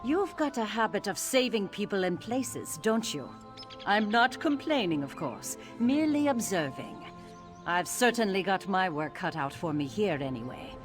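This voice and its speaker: A woman's voice: medium pitch, sing-song, moderate pace, music in the background, close to an everyday voice. An actress playing a wry, sociable female tavern keeper in a video game, teasing in tone.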